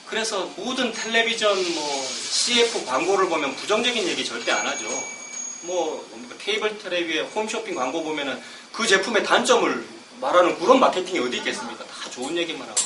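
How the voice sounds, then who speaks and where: A middle-aged man with a cold preaching a sermon, chatting casually between slow scripture readings. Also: on the high side, sing-song. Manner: conversational, self-deprecating asides between slow scripture reading. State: has a cold, coughing. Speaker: pastor. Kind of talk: expository sermon. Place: church sanctuary. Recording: roomy, distant mic with background noise.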